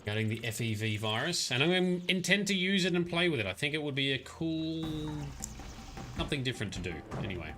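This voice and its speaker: A male streamer giving loose, rambling commentary during a live game session. His voice is bright and forward, and he often breaks off to greet his viewers. Faint game music plays underneath. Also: on the high side, animated, fast talker. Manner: rambling, chatty, talks to chat by name.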